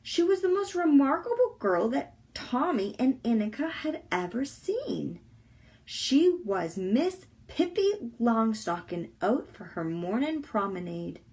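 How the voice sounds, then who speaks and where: A woman reading a children's book aloud as a volunteer, with expressive, deliberate storytelling. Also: fairly high pitch.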